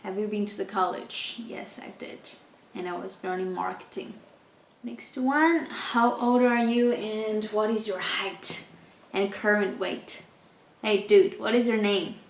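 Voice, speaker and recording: A woman's voice: medium pitch, a little slow, roomy sound, slight background noise. A woman in her twenties from China, a native Chinese speaker, casually answering viewer questions in an unscripted travel vlog. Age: 20s. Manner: chatty, reads questions aloud then answers with laughs. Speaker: travel vlogger.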